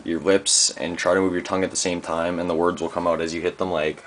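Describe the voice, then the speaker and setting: A young man giving a casual home tutorial in a laid-back, halting way with lots of filler, recorded on a camera's built-in microphone in a small room. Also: low-pitched, flat delivery. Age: young adult. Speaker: amateur musician demonstrating gear. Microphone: handheld camera's built-in microphone. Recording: noisy amateur camera microphone.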